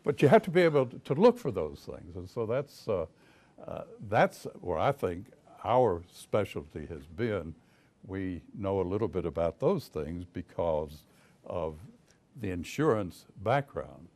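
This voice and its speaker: An older man with a deep voice and a Southern US accent, a private investigator telling folksy stories to an audience, chuckling as he goes. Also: big pitch swings, unhurried, a little mellow. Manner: folksy storyteller, chuckles often, many restarts. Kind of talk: casual talk to an audience.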